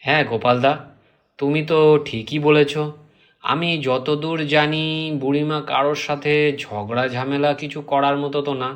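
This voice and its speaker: A man's voice: fairly high pitch, fairly even intonation, moderate pace, fairly bright tone, close to an everyday voice. A man voicing an earnest, suspicious younger villager in a Bengali cartoon. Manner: earnest, respectful.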